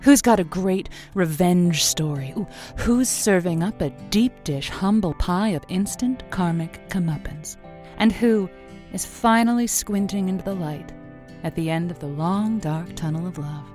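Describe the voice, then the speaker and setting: A woman's voice: on the low side, unhurried, a little mellow, soft background music, close to an everyday voice. An actress playing a wry, feisty woman who hosts a late-night radio advice show, playful and musing in manner. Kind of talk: fiction podcast acting as late-night radio monologue.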